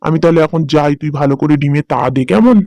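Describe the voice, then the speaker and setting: A hungry cartoon wolf played by a man, bossy and easily fooled. He talks down to others in a grudging way. The voice sits fairly high for a male and sounds very dry and close. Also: lively intonation, fast talker, dark tone, no room sound. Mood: menacing, gullible. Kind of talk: cartoon voice acting.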